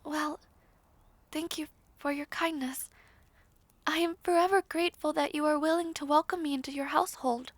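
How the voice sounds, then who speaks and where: Actress as timid fantasy fiancée: very high voice, hesitant, soft, formal, anxious and grateful, close dry sound. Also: female voice, fairly even intonation, normal speed, no echo, lightly acted. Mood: nervous, grateful. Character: shy, anxious, grateful young fiancée, formerly a maid. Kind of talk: fantasy audio roleplay acting.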